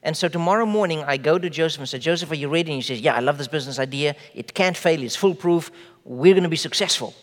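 A man whose first language is Afrikaans, preaching a sermon in measured, emphatic phrases with rhetorical questions. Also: fairly high pitch, long reverb tail. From South Africa. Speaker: pastor.

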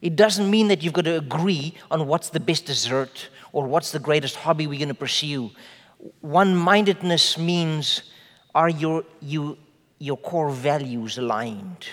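Afrikaans-speaking man preaching in a hall. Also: on the high side. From South Africa. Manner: measured preaching with slow emphatic phrases and rhetorical questions. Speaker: pastor. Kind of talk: sermon.

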